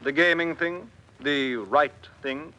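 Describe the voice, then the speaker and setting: An actor playing a resigned, honour-bound young gamester in a classic radio drama, speaking theatrically and emphatically. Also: male voice, a little high, takes it slowly, pushed and bright. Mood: resigned, earnest. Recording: dull old radio recording.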